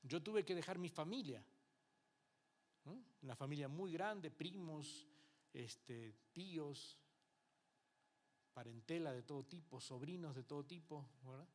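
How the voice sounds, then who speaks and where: Uruguayan pastor preaching conversationally. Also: male voice, on the high side, animated, a little slow, long pauses. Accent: Uruguayan Spanish with some Mexican influence. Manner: conversational preaching with frequent rhetorical checks and acted-out quotes. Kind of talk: Bible class sermon to a congregation. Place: church.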